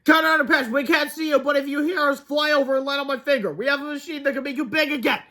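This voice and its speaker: A man's voice: high voice, animated, brisk, a bit bright. A man voicing an anxious, doubtful young schoolboy in a storybook, fretful and dramatic. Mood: excited. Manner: fretful, exclamatory.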